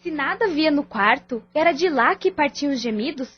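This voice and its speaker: A woman's voice: high voice, animated, normal speed, muffled recording. A woman playing a frightened young girl, the youngest daughter, in an audio drama, earnest and plaintive.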